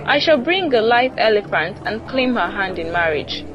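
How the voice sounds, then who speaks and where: A woman voicing an old, wise, confident male tortoise with formal, measured speech in a folktale. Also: medium pitch, big pitch swings, lo-fi sound, over music, lightly acted. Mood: proud resolve.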